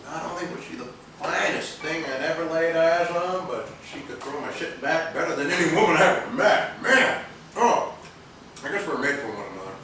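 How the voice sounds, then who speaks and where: An actor playing a brash, joking young husband and buddy in a stage play, slangy and loose. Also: male voice, fairly high pitch, animated, moderate pace, sharp tone. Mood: boastful, admiring. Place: theatre stage, videotaped live from a distance. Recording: echoey noisy theatre hall, distant camera mic.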